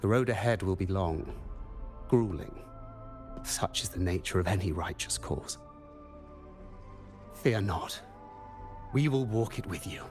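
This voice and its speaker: An actor playing a grandiose leader of a secret cause in a video game, making solemn, theatrical speeches. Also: male voice, sits low, expressive, measured pace, pauses often. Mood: solemn, assured. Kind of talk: scripted video game voice acting. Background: loud game music.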